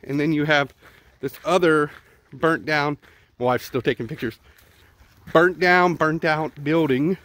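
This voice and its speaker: Middle-aged Texan man, fairly high voice, loose chatty walk-and-talk on a phone camera outdoors. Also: measured pace, long pauses, a bit bright. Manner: chatty, thinking aloud, repeats words. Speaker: vlogger exploring ruins. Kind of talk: casual walking tour narration. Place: outdoors at a ruined zoo. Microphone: handheld phone camera.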